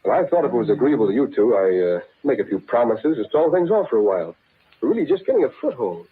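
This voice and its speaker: Brisk, glib hustler on tinny radio. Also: male voice, mid-range voice, big pitch swings, husky. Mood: wary. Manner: brisk, dismissive. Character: glib, cynical male song-racket hustler in a big city. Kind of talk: vintage radio drama acting. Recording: tinny, muffled old radio recording.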